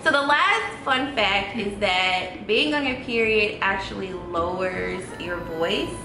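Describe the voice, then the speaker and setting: A woman's voice: mid-range voice, slow. A young woman hosting a casual vlog, chatting through facts with light giggles. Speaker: vlog host. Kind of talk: casual chat. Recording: roomy echo with music behind.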